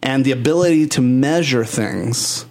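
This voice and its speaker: A man hosts a podcast from a basement, keeping the talk loose and bawdy. His pitch sits in the middle range. He jokes his way through the conversation. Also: normal speed, creaky, darkish tone, slight background noise. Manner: chatty, bawdy, joking. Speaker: podcast host. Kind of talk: casual chat. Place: basement studio.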